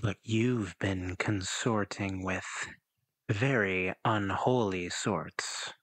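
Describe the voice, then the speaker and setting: A man voicing an enigmatic, quietly menacing figure with slow, measured, cryptic speech in a tabletop roleplay. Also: deep voice, steady tone, husky, exaggerated voice. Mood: taunting. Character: enigmatic, menacing man cloaked in smoke, calmly goading.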